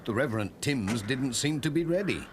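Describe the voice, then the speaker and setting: A man's voice: mid-range voice, lively intonation, normal speed, music in the background. A man with a British accent reading scripted narration for a children's story.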